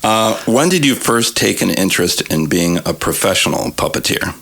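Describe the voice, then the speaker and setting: A man's voice: deep voice, expressive, moderate pace, vocal fry, fairly bright tone. A man hosting a podcast, asking questions in a casual, chatty interview style.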